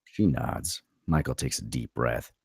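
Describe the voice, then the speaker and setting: Very deep, gravelly male narrator. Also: a little slow, dry recording, studio quality. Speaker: narrator reading stage directions. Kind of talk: scripted narration at a film table read.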